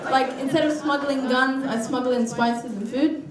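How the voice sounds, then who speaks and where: A woman's voice: sits high, fairly even intonation, normal speed. A woman from Somalia doing a chatty, self-mocking stand-up set on a club stage. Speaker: stand-up comedian. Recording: roomy club sound from a distance with crowd laughter.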